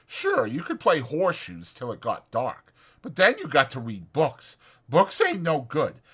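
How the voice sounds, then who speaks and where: Man acting a high-pitched, bitter, lonely stable hand. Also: huge pitch swings, unhurried, a bit bright, slightly rough, exaggerated voice. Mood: lonely, plaintive. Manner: rural folksy speech, brooding, emphatic. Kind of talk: dramatized audiobook character acting.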